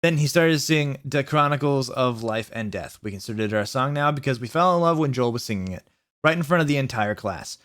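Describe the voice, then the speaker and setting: A man's voice: medium pitch, lively intonation, a little fast, fairly bright tone, no room sound. A man hosting a comic dramatic reading of fan fiction, mock-earnest and sarcastic in his asides.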